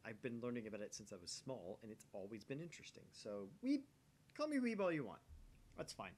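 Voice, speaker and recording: Man, game channel host, lively varied pitch, read-aloud narration, casual chat, faint rumble. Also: brisk. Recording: faint rumbly background noise.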